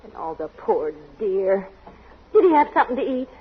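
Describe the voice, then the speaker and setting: A woman's voice: medium pitch, very animated, moderate pace, breathy edge. An actress playing a tender, anxious middle-aged wife and mother in a 1940s radio sitcom, fretting in sighing exclamations. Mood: tender worry. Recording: muffled 1940s radio recording, faint noise.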